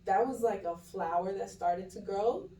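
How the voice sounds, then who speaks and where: A mellow-voiced young woman talks casually and candidly, thinking aloud in slangy speech. She is picked up from a distance in an echoey room, with a faint hum behind her. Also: mid-range voice, moderate pace. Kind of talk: casual chat.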